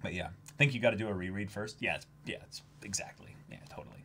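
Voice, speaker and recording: A young man talking casually as co-host of a livestream, in a rambling, jokey, animated way. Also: lowish voice, quick pace, a little hiss or hum. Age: young adult. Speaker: co-host of a manga reading stream. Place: indoor room, seated before a backdrop.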